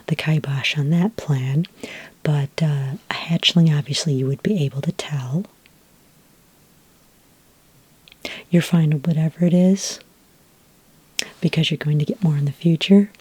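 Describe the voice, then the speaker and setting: Helpful fantasy dragonmaster played by a woman, soft breathy whisper, hesitant ASMR delivery, close and dry recording. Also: low voice, dry recording. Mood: gentle, reassuring. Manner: hesitant, unhurried explaining, frequent ums. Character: patient, helpful fantasy dragon ranch expert. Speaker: ASMR roleplayer.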